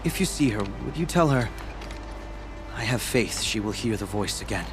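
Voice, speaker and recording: A man voicing a humble, devoted young apprentice shaman who talks in a soft, measured way. His voice sits a little low, with a loud game score behind him. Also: expressive, close to an everyday voice. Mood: earnest. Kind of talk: video game cutscene dialogue. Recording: game audio with loud music.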